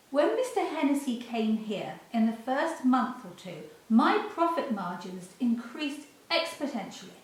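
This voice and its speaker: A woman plays a suspicious business owner in a radio drama who pitches her voice a touch high and lets it swing widely as she presses for answers. It sounds like playback in a very echoey space. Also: a little mellow. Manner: probing, insistent.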